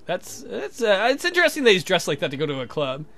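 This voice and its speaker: A high-pitched man hosts a let's play, reading a visual novel aloud with crude, irreverent asides. His voice is bright and swings widely, with faint music playing behind him. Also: wildly swooping pitch, quick pace, creaky.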